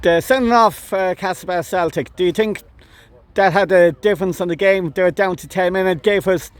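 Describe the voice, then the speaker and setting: A man's voice: high-pitched, normal speed. A man with an Irish accent interviewing after a football match, asking questions with frequent hesitations. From Ireland. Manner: hesitant, many "um"s. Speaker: club interviewer.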